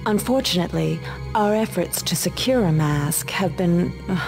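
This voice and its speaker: A cool spymaster in a video game cutscene. Her voice sits on the low side. She speaks with composed, guarded precision, as if briefing an operative, over clearly audible music. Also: normal speed, poor audio. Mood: cool, measured. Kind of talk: scripted game dialogue, briefing.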